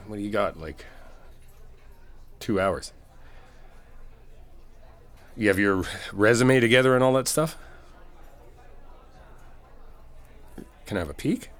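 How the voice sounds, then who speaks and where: A man's voice: lowish voice, a bit bright. A man playing a supportive, easygoing best friend in a casual ASMR comfort roleplay, talking conversationally and reassuringly as if to one listener. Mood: encouraging, curious. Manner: conversational, reassuring, hesitant rephrasing. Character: supportive, easygoing male best friend giving a pep talk. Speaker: audio roleplay performer.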